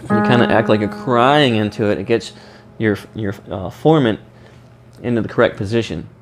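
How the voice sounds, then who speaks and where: A man demonstrating and explaining a vocal warm-up, his voice a little rough from a recent sinus infection. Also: fairly low pitch, normal speed, loud music behind the voice. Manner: explains while demonstrating sung vowels. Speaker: singing teacher.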